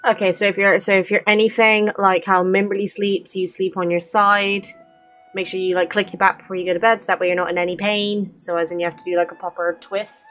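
A woman's voice: mid-range voice, flat delivery, brisk, fairly bright tone. A woman in her twenties chatting casually and candidly as she plays a game, speaking into a desk mic. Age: 20s. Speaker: streamer playing a game. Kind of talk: casual chat over gameplay, reading dialogue aloud. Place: small bedroom with gaming setup. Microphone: close desk microphone. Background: game music.

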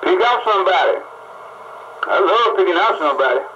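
A man's voice: high voice, animated, fairly quick, sharp tone. A man bantering casually over CB radio, repeating phrases for emphasis, heard through the radio's speaker. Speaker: CB radio operator. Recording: muffled CB radio with faint noise. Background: faint radio noise.

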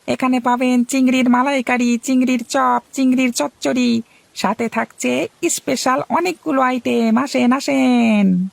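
A woman's voice: high voice, fairly even intonation, unhurried, creaky, dry recording, full character voice. A woman voicing Ramu, an eager, servile young male shop boy in a cartoon who calls out to customers in a sing-song patter. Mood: hawking.

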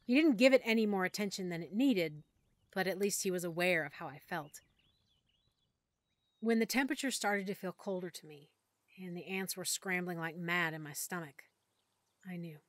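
A woman narrating a first-person audiobook story in a measured, emotional way that sometimes drops to a hush. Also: on the low side, no room sound. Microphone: close studio mic.

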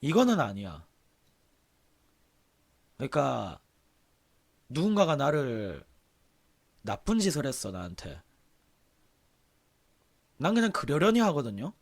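Male game streamer, husky worn-out throat, offhand casual delivery with lively swings in pitch. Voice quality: hoarse, husky. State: sore, hoarse throat with throat clearing. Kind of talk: casual livestream chat, reading aloud and commenting.

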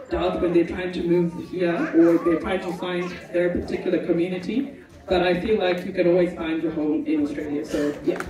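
A woman from Zimbabwe speaks earnestly and reflectively to an audience, pausing now and then as she gathers her thoughts. Her voice reaches the mic from a distance in a very echoey hall. The murmur of a crowd sits behind her. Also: fairly low pitch. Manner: earnest, reflective, with frequent ums. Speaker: pharmacist speaking at a community event. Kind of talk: personal testimony to an audience. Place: large hall at a multicultural event.

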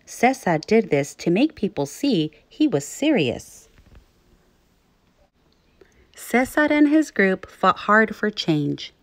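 A woman teacher reading aloud to young children, phrasing things clearly and putting questions to her listeners. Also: mid-range voice, very animated, slow, pauses often, no room sound. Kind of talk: children's read-aloud narration.

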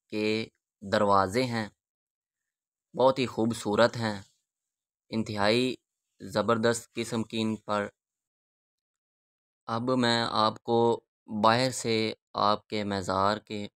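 A man narrating a historical walking tour in a measured, reverent, halting way, phrase by phrase. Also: on the low side, a bit bright, clear, resonant tone, dry recording, studio quality. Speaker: vlogger and guide.

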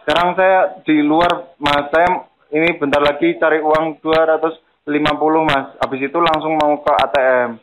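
A man's voice: fairly high pitch, fairly even intonation, moderate pace, very noisy. A man making a prank phone call, talking politely and insistently, as if pleading. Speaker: prank caller. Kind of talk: prank phone call, playing a grateful victim.

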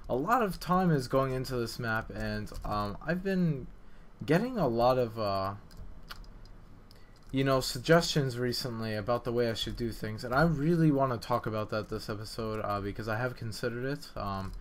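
A man hosting a game development video, talking in a casual, informal and halting way. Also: mid-range voice, lively intonation. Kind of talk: casual gameplay commentary, development vlog.

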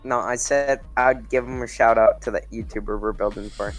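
A man's voice: medium pitch, fairly even intonation, moderate pace, soft background music. A young man from Minnesota with a Minnesota accent, chatting casually over voice chat while gaming and often stumbling over his words. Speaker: gamer on voice chat.

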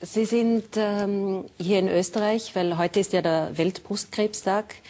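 A woman's voice: mid-range voice, steady tone, measured pace, warm tone. A woman hosting a daytime TV talk show, asking polite questions with some hesitant fillers. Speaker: TV host. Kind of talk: TV interview. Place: TV studio.

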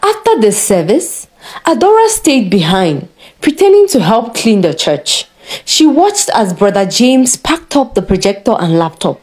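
A woman narrating a scripted story in a measured, dramatic way. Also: mid-range voice, big pitch swings, darkish tone.

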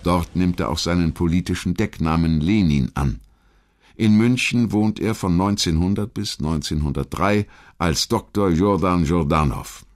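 Ein Mann, der als Erzähler einen vorbereiteten historischen Text vorträgt. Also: male voice, sits low, moderate pace. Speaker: documentary narrator. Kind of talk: scripted historical narration.

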